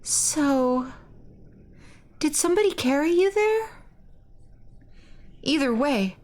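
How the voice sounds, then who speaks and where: A tender-hearted schoolgirl in a horror game, played by a woman reading aloud. Her voice sits very high and sounds anxious and full of worried feeling. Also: expressive, slow, darkish tone. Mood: worried, relieved. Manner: worried, emotional. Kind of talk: dramatic read-aloud of game dialogue.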